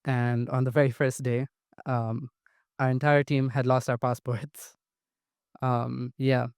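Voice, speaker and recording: Eager young man, very flat voice. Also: mid-range voice, slow pace, smooth, no room sound, clean studio sound. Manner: eager, repeats "amazing". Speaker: student panelist. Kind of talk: answering panel questions.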